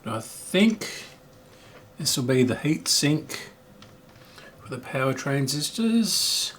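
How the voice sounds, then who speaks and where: A man's voice: fairly high pitch, sing-song, slow, fairly bright tone, a little hiss or hum. A middle-aged man giving casual repair commentary as a hobbyist host, thinking aloud in a hesitant, musing way.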